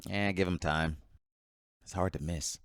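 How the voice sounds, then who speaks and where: An actor playing a teasing bar regular in a radio drama, laconic and deadpan. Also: male voice, sits low, measured pace, background noise. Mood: dry teasing.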